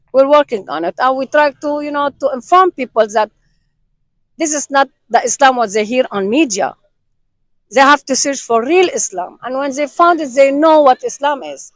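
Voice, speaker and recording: A woman with a high, light voice answers interview questions earnestly. She repeats words while she searches for what to say. The recording sounds dry and close. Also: dry recording.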